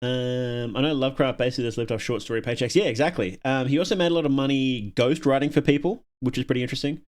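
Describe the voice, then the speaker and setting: A man's voice: mid-range voice, fast talker, a little mellow. A man chatting off the cuff as a live streamer, musing aloud and often trailing off as he rambles. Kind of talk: casual off-the-cuff livestream chat.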